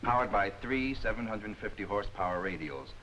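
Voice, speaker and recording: Through clear noise on an old film soundtrack, a low-voiced aircraft instructor recites technical data. The delivery is matter-of-fact 1940s training film lecturing. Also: male voice, expressive, brisk, fairly bright tone, rough recording.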